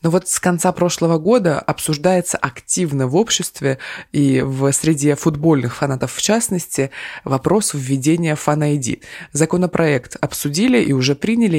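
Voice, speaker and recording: A man with a fairly high speaking voice co-hosts a news discussion show. He explains topics steadily and puts questions to a guest, recorded dry and close. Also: darkish tone, dry recording.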